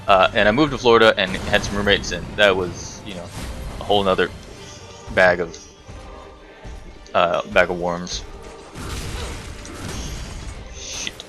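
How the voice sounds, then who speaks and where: Guy doing storytime over a game, lowish sharp voice, rambles n corrects himself, swears at the game. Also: steady tone, moderate pace, pauses often, soft background music. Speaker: gaming video host telling stories while playing. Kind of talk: casual storytime commentary over gameplay.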